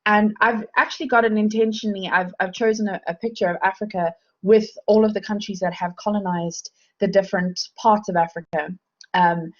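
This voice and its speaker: A woman giving a recorded university lecture, explaining in a measured way with frequent ums. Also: medium pitch, steady tone, muffled recording.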